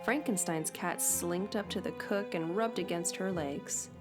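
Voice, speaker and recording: A woman narrating a children's storybook aloud, expressive and deliberate, with playful asides. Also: fairly low pitch. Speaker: storybook reader. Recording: clear background music. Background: music.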